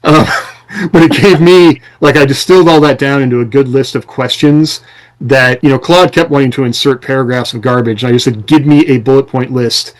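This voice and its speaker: A man's voice: mid-range voice, fairly quick, low-quality recording. An American man talking casually as a podcast host, explaining things conversationally with examples and quoted lines.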